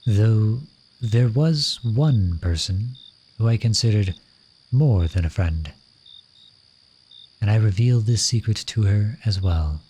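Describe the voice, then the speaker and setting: A man's voice: on the low side, lively intonation, slow pace, pauses often, dark tone, slight background noise. A man playing a lovesick, courtly royal in a romantic ASMR roleplay, speaking to the listener in formal, flowery phrases. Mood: wistful, tender.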